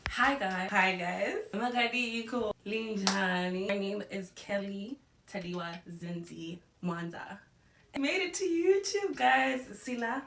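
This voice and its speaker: A young woman from Zimbabwe talking casually in a get-to-know-me vlog, chatty and expressive, dropping in Shona and Ndebele phrases. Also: mid-range voice, measured pace, reverberant, far from the mic, a little hiss or hum. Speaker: vlogger.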